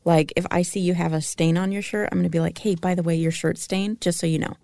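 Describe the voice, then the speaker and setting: A woman's voice: fairly low pitch, fairly even intonation, quick pace, vocal fry, warm tone, no echo. A woman co-hosting a casual podcast, laughing often and talking in a rambling, self-critical way into a studio microphone. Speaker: podcast co-host. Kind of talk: casual chat.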